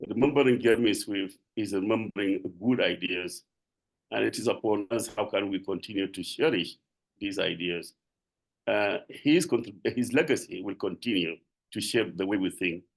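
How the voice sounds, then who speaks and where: A man from South Sudan with a South Sudanese accent, giving a halting, earnest tribute as a panelist on a video call. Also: fairly low pitch, vocal fry. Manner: halting, restarts phrases, earnest. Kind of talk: tribute speech on a webinar.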